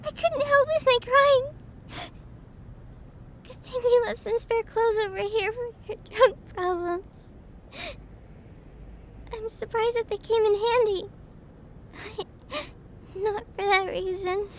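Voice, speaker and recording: A young woman acting a distraught, self-deprecating best friend confiding her troubles in an intimate audio roleplay, tearful and halting. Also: extremely high pitch, fairly even intonation, slight background noise. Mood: tearful, self-mocking.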